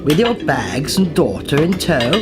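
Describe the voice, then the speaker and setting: An actor playing a pompous aristocratic cult leader in a radio play, theatrical, old-fashioned and genially menacing. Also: male voice, a little high, sing-song, measured pace, loud music behind the voice. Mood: grandly ominous. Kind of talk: period radio play acting.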